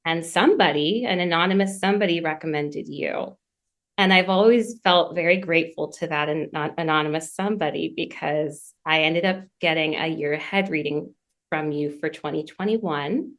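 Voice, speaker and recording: A woman from Massachusetts with a slightly low voice talks earnestly as a podcast guest. She slips into quick, eager bursts when a memory excites her, then settles again, all heard over a remote call. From Massachusetts, USA. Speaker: guest, astrology student. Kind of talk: conversational podcast interview.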